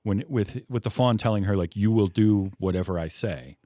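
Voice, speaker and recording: A man's voice: fairly low pitch, normal speed, mellow, no echo, studio quality. A man hosting a podcast, discussing a film in a casual, conversational way. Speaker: podcast host.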